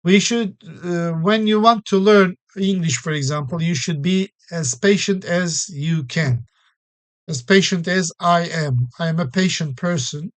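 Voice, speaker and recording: Slow, patient male English teacher. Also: on the high side. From Turkey. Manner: patient explanations with many everyday examples and frequent fillers. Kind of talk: recorded classroom-style English lesson.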